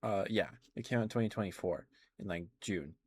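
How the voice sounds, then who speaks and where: A man's voice: lowish voice, brisk, husky. A man streaming ASMR, chatting casually in a rambling, hesitant way into a close microphone. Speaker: live streamer. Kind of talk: ASMR, casual rambling chat to live viewers.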